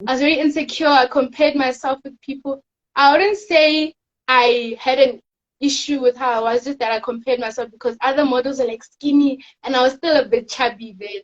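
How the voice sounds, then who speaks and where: A teenage girl from South Africa, a guest in a casual interview, telling her story softly and rambling with fillers. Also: high voice, fairly even intonation, brisk, muffled recording. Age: teens. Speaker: guest, teen pageant winner.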